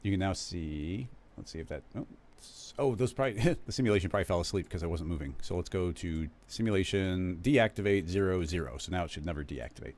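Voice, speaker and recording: A man hosting a live stream, casually talking viewers through a software tutorial, rambling and correcting himself as he goes. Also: low voice, animated, fast talker. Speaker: live stream host teaching 3D animation software.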